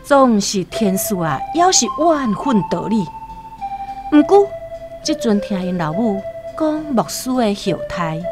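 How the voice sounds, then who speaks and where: A woman tells a scripted radio story in a Taiwanese accent. Her delivery is slow and deliberate, with dramatic, wide swings in pitch. Music plays clearly behind her. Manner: expressive, deliberate. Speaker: narrator.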